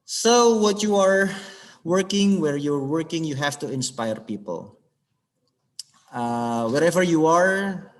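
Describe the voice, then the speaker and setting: A man giving a webinar talk as an English trainer, halting and searching for words as he goes over his slides. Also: medium pitch. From Indonesia. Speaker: alumni guest speaker, English trainer.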